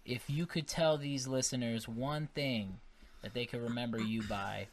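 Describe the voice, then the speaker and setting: A man's voice: medium pitch, steady tone, moderate pace. A man hosting a podcast, interviewing casually and wordily, often circling back to rephrase his questions. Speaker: podcast host.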